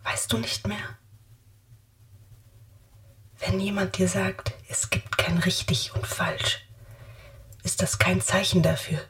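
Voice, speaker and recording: An actress playing an eerie inner voice in a poetic radio drama, speaking in repetitive, incantatory fragments. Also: female voice, on the low side, steady tone, slow, long pauses, fairly bright tone, in a whisper. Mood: cryptic.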